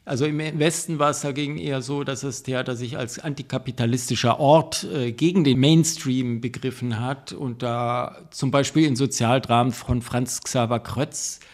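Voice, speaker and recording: A man, a theatre critic and podcast guest, speaking thoughtfully and pausing with many fillers. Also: mid-range voice, fairly even intonation. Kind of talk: podcast interview.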